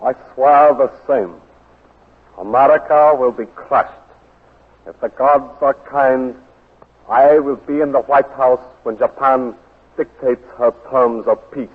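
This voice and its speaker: An actor playing a fanatical, solemn Japanese army captain with a ceremonial manner in a 1940s radio drama. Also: male voice, medium pitch, breathy edge. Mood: solemn zeal. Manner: slow, ceremonial. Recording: muffled, reverberant 1940s radio recording.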